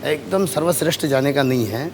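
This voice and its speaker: A man's voice: medium pitch, fairly quick, gravelly, darkish tone. A man working as an astrologer on a game show, reading fortunes in formal, Sanskrit-heavy speech. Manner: formal, Sanskrit-laden pronouncements. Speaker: astrologer guest. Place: TV studio stage. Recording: reverberant studio with audience noise.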